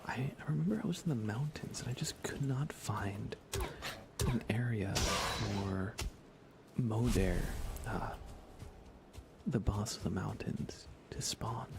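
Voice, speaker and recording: Low, creaky-voiced man streaming softly. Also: takes it slowly, over music. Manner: soft-spoken, often dropping into a whisper. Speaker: live streamer. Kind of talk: soft ASMR gaming stream, casual chat with viewers.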